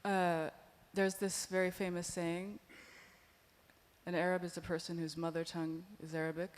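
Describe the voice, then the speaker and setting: Flat-voiced woman answering slow and thoughtful on a panel, kinda soft. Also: on the low side. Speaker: panelist artist. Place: museum panel stage.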